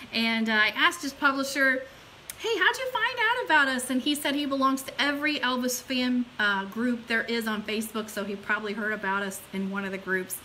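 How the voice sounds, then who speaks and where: A middle-aged woman hosts a livestream from a small room with an informal, enthusiastic manner. Her voice is fairly high and very bright, with a light hiss in the recording. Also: rough recording. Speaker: host of an online radio show. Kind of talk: chatty livestream hosting, partly reading an article aloud. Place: small room indoors at home or office. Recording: steady background hiss.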